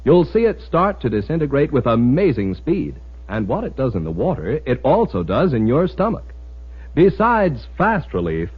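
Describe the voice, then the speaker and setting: Animated, husky American radio announcer man. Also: medium pitch. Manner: emphatic, deliberate, persuasive. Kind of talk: mid-century radio advertising pitch. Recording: muffled, dull old radio recording.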